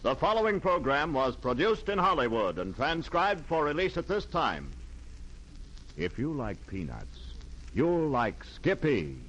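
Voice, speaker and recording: Animated 1940s radio announcer man. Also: a little high, a little slow, highs cut off, noisy background. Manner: folksy, polished salesman. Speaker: announcer and commercial pitchman. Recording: vintage 1940s radio transcription.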